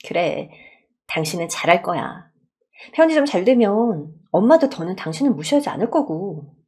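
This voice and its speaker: Woman acting a gentle young wife, encouraging, supportive, expressive pitch, radio story. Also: normal speed, slightly rough.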